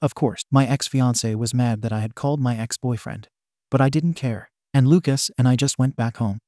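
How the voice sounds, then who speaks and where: Guy reading reddit posts, mid voice, super dry close mic, steady pace. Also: mid-range voice, moderate pace, dry recording. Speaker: story narrator. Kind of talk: reading online posts aloud.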